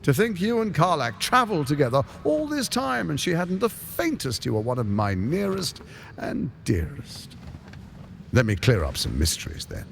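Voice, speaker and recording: An actor playing a suave, scheming tyrant lord in a video game, speaking in a silky, pompous, measured and persuasive way. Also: male voice, fairly high pitch, wildly swooping pitch, gravelly, slight background noise, full character voice. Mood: smug, teasing. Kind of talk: theatrical video game cutscene acting.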